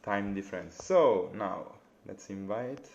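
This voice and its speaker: A young man with an Italian accent, hosting a live interview over a video call, friendly and halting, with many fillers. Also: fairly low pitch, creaky, darkish tone. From Italy. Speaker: interviewer, bassoonist. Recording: dull lo-fi video call audio.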